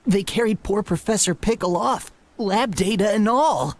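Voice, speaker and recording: A man voicing an anxious young lab assistant in a video game, halting and dramatic. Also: high-pitched. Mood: distressed. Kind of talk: video game cutscene acting.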